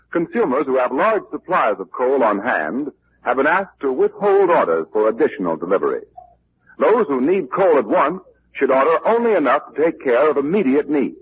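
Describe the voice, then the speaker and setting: A man reading a scripted announcement in the manner of a 1940s radio announcer. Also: medium pitch. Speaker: radio announcer reading a public service message. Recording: muffled, noisy old radio recording.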